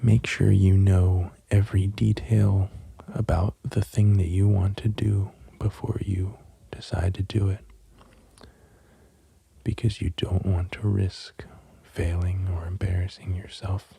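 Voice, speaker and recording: A soft-spoken man in an ASMR style, with a very dark, mellow and breathy voice full of gravelly fry. He goes gently and slowly, often slipping toward a whisper, close to the mic. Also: low voice, flat delivery. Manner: gentle, unhurried, halting with long pauses. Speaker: host of an ASMR channel. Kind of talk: soft-spoken ASMR, musing and poem reading. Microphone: close microphone.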